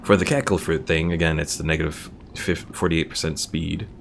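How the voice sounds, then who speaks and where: Male streamer, low creaky voice, casual thinking-aloud commentary on a game, music underneath. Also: steady tone, a little fast.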